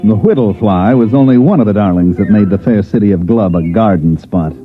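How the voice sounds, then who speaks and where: A man's voice: lowish voice, expressive, brisk, lightly acted. A wry, hard-boiled American investigator played by a male actor tells his story in 1950s radio drama style. His sardonic delivery rises and falls in big swings. The old recording is thin and narrow, with some music behind. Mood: wry narrating. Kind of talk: 1950s radio drama acting with first-person narration.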